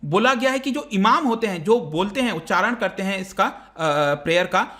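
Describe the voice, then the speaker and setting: A man's voice: high voice, animated, normal speed, sharp tone. A man with an Indian accent giving an explanatory current-affairs lecture as a teacher, conversational and step by step, mixing in English terms. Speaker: online exam-prep teacher.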